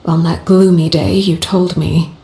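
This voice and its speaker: A woman voicing a composed, stern female leader who calls herself the children's father, speaking calmly and bluntly in a game cutscene. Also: on the low side, reverberant. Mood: solemn. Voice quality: calm, measured, blunt. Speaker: head of an orphanage house, the children's father. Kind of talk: video game cutscene voice acting.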